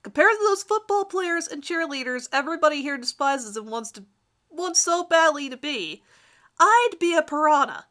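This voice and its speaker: A woman's voice: high voice, animated, moderate pace, sharp tone, pitched above the performer's own voice. A woman voicing a vain, lovestruck teenage boy who narrates his crush with overwrought emphasis in a melodramatic fan fiction reading. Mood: frustrated, boastful.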